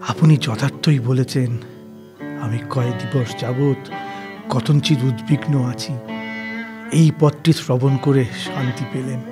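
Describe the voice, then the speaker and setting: An actor playing a learned, devout older gentleman in an audio story, troubled and reverent, with formal, archaic speech that halts with emotion. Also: mid-range voice, fairly even intonation, close to an everyday voice. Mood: troubled, earnest. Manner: formal archaic literary Bengali, halting with emotion. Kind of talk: dramatized audio story acting. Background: plucked string instrument music.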